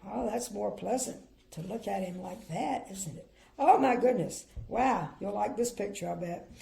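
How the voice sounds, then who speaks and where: A woman's voice: mid-range voice, normal speed, gravelly. An older woman, a grandmother, reading a children's storybook expressively and adding chatty, opinionated asides. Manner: chatty, gently opinionated commentary. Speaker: grandmother reading bedtime stories.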